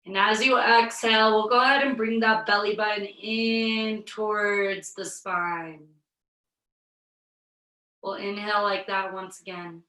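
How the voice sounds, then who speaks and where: A woman yoga instructor with a slight head cold, guiding a virtual class through breathing in a slow, soothing way. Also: medium pitch, little pitch movement, bright, forceful tone, roomy sound, recorded from a distance, poor audio.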